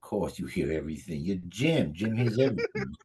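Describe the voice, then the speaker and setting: A man's voice: sits low, sing-song, a little fast, darkish tone, breathy edge, full character voice. A man playing a casual, foul-mouthed, gullible guy fishing for news in a comedy table read. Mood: incredulous.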